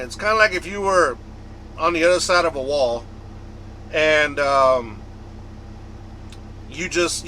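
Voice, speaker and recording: A man with a bright, fairly high voice talks casually to his vlog viewers. He is a trucker from the United States and rambles along conversationally, often repeating himself. Faint vehicle and fan noise sits behind him, as inside a truck cab. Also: poor audio. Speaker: trucker vlogger.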